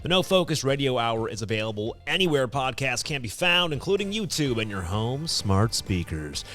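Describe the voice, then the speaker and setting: An American man hosting a comedic podcast in a casual, joking and theatrically expressive way. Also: medium pitch, moderate pace. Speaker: podcast host.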